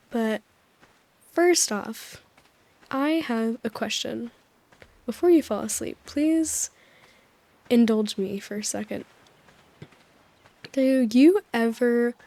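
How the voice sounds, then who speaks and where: Gentle, slightly hoarse woman host. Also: on the high side. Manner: gentle, soothing, meandering with small laughs. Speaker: sleep-aid video host. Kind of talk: soft, rambling sleep-aid talk over gameplay. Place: voice-over recorded apart from the game footage.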